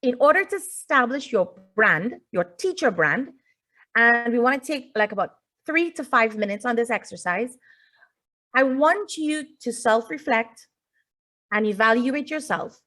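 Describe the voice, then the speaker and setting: Female teacher-presenter, native English speaker, slightly high voice, informal explanatory lecture over a video call. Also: lively intonation, a little slow, bright, forceful tone. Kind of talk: informal online lecture, addressing audience. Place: indoors, online video call.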